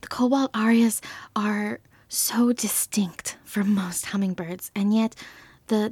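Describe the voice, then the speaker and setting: A woman acts as an earnest field naturalist in an audio drama, full of quiet wonder. Her voice is soft, breathy and husky, recorded close and very dry. She speaks thoughtfully and lyrically, without hurry. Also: medium pitch, no room sound. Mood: wistful wonder. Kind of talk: scripted audio-drama field report, measured narration.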